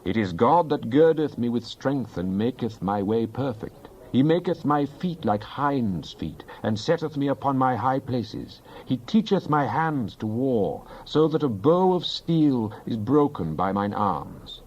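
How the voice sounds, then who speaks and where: Male scripture reader, mid-pitched, solemn and declamatory, on slightly muffled audio. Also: expressive, unhurried, hardly any pauses, a little mellow, a little hiss or hum. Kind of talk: dramatized Bible reading.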